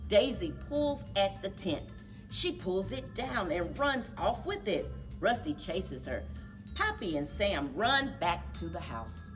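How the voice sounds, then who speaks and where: A woman reading a children's story aloud in a deliberate, expressive way for young listeners, as a story time host. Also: medium pitch, distant mic, faint music behind.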